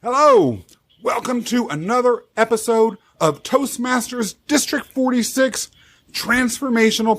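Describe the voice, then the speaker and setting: Man, podcast host, fairly high voice, enthusiastic, playful questions. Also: fairly bright tone. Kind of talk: podcast interview.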